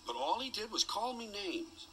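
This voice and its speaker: A man voicing a kind, hard-working little male diesel engine who talks gently and modestly, in a children's storybook show. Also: high voice, sing-song, moderate pace, pitched above the performer's own voice. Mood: sorry.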